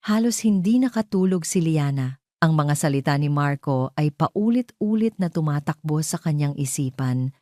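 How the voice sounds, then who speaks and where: Low, dark-voiced Filipino woman storyteller. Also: lively intonation, moderate pace, dry recording, studio quality. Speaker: story narrator. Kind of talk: scripted audio drama narration of a romance story.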